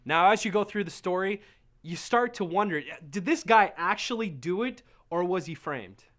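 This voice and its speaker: A man's voice: high-pitched, lively intonation, moderate pace, fairly bright tone. A man narrating a game review in a playful, sarcastic way.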